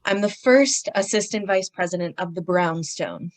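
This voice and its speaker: A woman's voice: lowish voice, normal speed, lightly acted. A woman playing a prim, pompous male department-store executive in old-time radio style, formal, stiff and precise. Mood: formal, officious.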